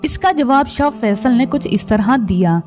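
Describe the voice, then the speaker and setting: A woman's voice: on the high side, animated, unhurried, darkish tone. A woman reading scripted documentary narration as the narrator of a biographical history piece. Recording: background music under voice. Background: music.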